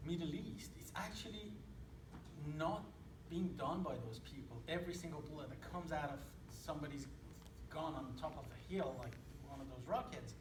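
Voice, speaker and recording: A man's voice: fairly high pitch, moderate pace. A man in his thirties from Iran with an Iranian accent, giving a casual, hesitant talk to an audience, full of fillers and restarts. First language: Persian. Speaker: presenter. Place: hall with audience. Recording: echoey room, distant mic, noisy.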